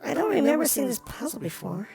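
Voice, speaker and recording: A woman's voice: high voice, very animated, fast talker, creaky, full character voice. A woman playing a jealous, melodramatic porcelain doll in a game, speaking in a prim, pouty, formal way. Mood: suspicious. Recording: loud music behind the voice. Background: music.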